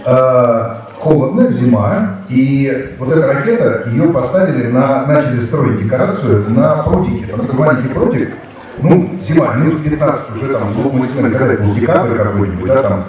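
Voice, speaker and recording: A man's voice: mid-range voice, expressive, normal speed, a crowd in the background. A man in his fifties telling rambling anecdotes with many fillers, speaking casually to a school audience. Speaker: guest speaker, actor. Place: large hall with students. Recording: echoey hall, mic at a distance.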